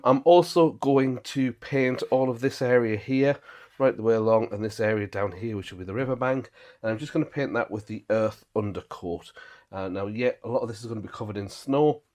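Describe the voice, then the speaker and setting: Brief: male hobby vlogger, deep voice, stuffy from a cold, easygoing step-by-step chat from his garage. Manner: chatty, relaxed, talks through each step with fillers. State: bunged up with a head cold, a little breathy. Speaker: channel host and model railway builder. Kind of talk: casual how-to vlog narration. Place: home garage workshop.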